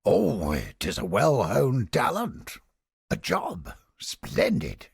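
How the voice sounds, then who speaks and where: A man playing a haughty, creepy mind-reading interrogator in a video game, with a pompous, florid, theatrical delivery and a raspy, gravelly voice. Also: medium pitch, wildly swooping pitch, takes it slowly, no room sound, studio quality, exaggerated voice. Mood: dry condescension. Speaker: game character. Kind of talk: video game character voice lines.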